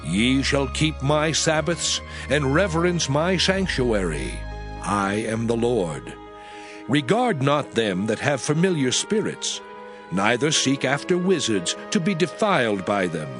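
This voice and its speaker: A man's voice: mid-range voice, very animated. Man acting a solemn divine lawgiver over music. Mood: solemn, commanding. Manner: solemn, measured, emphatic declamation. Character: God as solemn, commanding male lawgiver. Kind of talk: dramatized scripture reading in archaic English. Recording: music under the voice.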